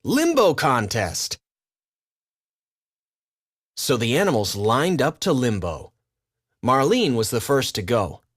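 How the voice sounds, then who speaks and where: A man's voice: medium pitch, big pitch swings, normal speed, no echo, clean studio sound. A man reading a scripted children's story as its narrator.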